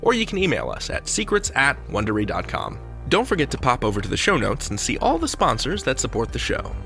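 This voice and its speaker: A male podcast host with a forceful, bright voice and very animated intonation. He presents in a playful, conversational way, tossing out rhetorical asides. Soft music sits underneath. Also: mid-range voice, fairly quick, gravelly. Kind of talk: chatty, comic podcast presenting.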